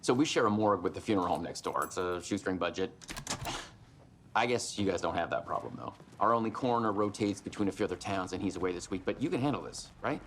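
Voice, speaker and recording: Small-town lawman, lowish voice, folksy, casual game dialogue with slight background noise. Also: expressive, quick pace, a bit bright. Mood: friendly, businesslike. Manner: casual, plain-spoken. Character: easygoing, folksy small-town male sheriff. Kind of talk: video game acting.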